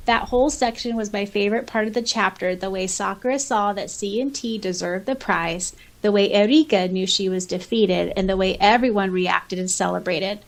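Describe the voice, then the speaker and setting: Female podcast co-host, medium pitch, casual, enthusiastic and giggly delivery. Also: normal speed, hardly any pauses. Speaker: podcast co-host and audiobook voice actor. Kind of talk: casual podcast chat, reading out listener comments.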